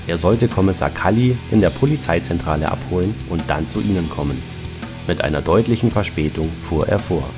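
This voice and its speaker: A man's voice: deep voice, a little fast, close to an everyday voice. A man narrating a parody detective audio drama in a scripted storytelling style. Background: plucked guitar music.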